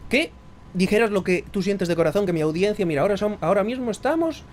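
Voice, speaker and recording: Quick, animated Galician man. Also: sits high, slight background noise. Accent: Galician Spanish. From Spain, Galicia. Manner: earnest, brotherly, effusive thanks. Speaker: podcast host. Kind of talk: casual interview on a live stream.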